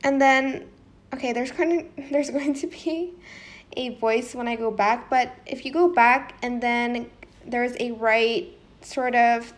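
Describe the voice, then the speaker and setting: A woman's voice: fairly high pitch, steady tone, slow. A woman presenting a casual how-to tutorial in an informal, slightly hesitant way, as if thinking aloud.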